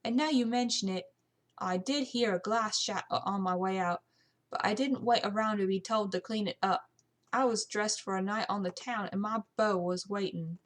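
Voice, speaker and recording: A woman voicing a cheeky young housemaid with a sharp tongue and a put-on British accent in a period story. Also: mid-range voice, normal speed, natural voice. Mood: cheeky, scornful. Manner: cheeky, disrespectful.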